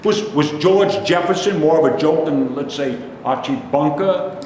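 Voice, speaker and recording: Grumbling, high-pitched city loner played by a man, echoey hall. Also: normal speed, a little mellow, close to an everyday voice. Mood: defensive, nostalgic. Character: grumbling, nostalgic, judgmental male city loner. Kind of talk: staged play reading. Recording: very echoey hall, distant mic, faint noise.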